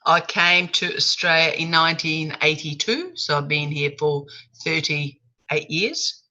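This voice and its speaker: A middle-aged woman introduces herself on a panel in brief, plain words. She speaks slowly, and her voice is bright and on the lower side. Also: expressive, rough recording. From Sweden. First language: Swedish.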